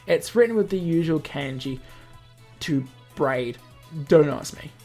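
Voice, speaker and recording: A man's voice: fairly high pitch, animated, measured pace, darkish tone. A man hosting a gaming video, reading a visual novel aloud with casual asides and correcting himself as he goes. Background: music.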